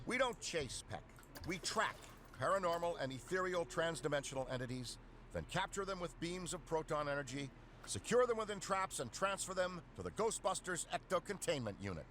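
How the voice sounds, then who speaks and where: An actor playing an earnest, eager middle-aged paranormal scientist in a video game, talking in technical, rambling turns. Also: male voice, a little high, very animated, fairly quick, fairly bright tone, slight background noise. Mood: insistent.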